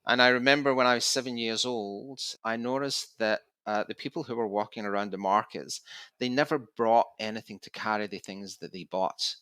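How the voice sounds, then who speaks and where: A man's voice: on the low side, steady tone, moderate pace, a bit bright, clear, resonant tone, dry recording. A Scottish man in his fifties, a podcast guest, telling his story in a chatty, easygoing way. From Scotland. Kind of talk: casual interview storytelling.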